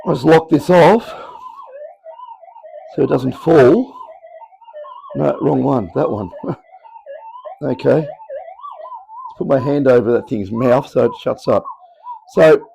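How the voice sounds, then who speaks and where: A middle-aged Australian man with a croaky, worn voice from talking all day, rambling casually as he demonstrates a build in his home workshop. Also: medium pitch, huge pitch swings, slow pace, pauses often, warm tone, poor audio. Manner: rambling, offhand, chatty explaining. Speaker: electronics hobbyist host. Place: cluttered home workshop, indoors. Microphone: camera mic on tripod.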